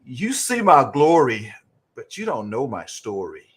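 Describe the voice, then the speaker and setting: A man's voice: mid-range voice, big pitch swings, measured pace, breathy edge. A man hosting a motivational talk show, speaking emphatically and repeating phrases for effect. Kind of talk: motivational interview chat.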